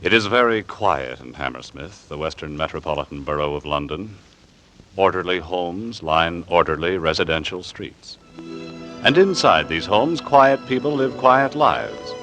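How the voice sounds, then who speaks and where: A man reading scripted narration for a 1940s radio drama in a measured, formal manner. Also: low voice, expressive, fairly bright tone. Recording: vintage radio broadcast with music behind. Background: music.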